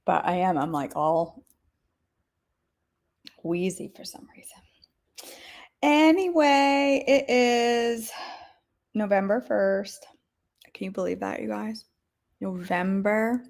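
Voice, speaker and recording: A woman with a wheezy voice who keeps clearing her throat, chatting with listeners and then reading scripture aloud as a devotional host. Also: mid-range voice, takes it slowly, pauses often, a little mellow. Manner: chatty, addresses listeners directly. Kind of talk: casual chat then Bible reading aloud.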